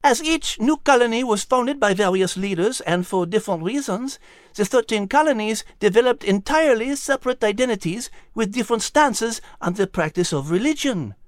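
Woman as a lively French animal lady. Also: a little high, moderate pace, no echo, studio quality, lightly acted. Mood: thoughtful, explaining. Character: Liz, elegant, thoughtful female French animal teacher. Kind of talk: audiobook storybook character voice.

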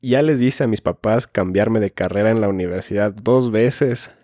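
A man's voice: on the low side, moderate pace, mellow, no room sound, studio quality. A man in his twenties from Finland, co-hosting a conversational podcast. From Finland, has lived in Ecuador. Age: 20s. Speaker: podcast co-host.

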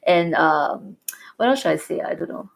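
A woman's voice: on the low side, expressive, moderate pace, creaky. A young Singaporean woman co-hosting a podcast, chatting casually and laughing often, with Singlish particles in her talk. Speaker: podcast co-host. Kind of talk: casual chat.

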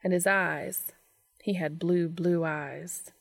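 A woman narrates a story in audiobook style. Her pitch sits a little low and carries some gravelly fry. The sound is dry, with very little room in it. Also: fairly even intonation, slow, dry recording. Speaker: fan fiction reader.

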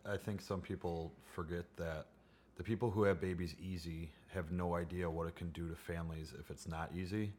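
Deep-voiced earnest man. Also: fairly even intonation, fairly quick, a little mellow, a little hiss or hum. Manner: earnest, repeats for emphasis. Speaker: guest. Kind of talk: casual chat.

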